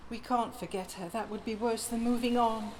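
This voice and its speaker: A woman's voice: fairly high pitch, steady tone, normal speed, a little mellow, lightly acted. A woman playing a grieving, resentful mother sliding into madness, with brooding bitterness, in a horror audio drama. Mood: brooding, bitter. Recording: long reverb, faint noise.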